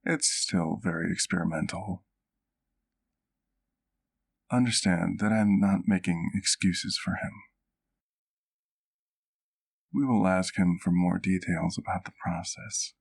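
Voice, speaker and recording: A man's voice: low-pitched, creaky, exaggerated voice. A man playing a courtly, doting vampire doctor in an ASMR roleplay, speaking intimately to the listener in formal, precise words. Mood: concerned. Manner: formal, precise, measured wording.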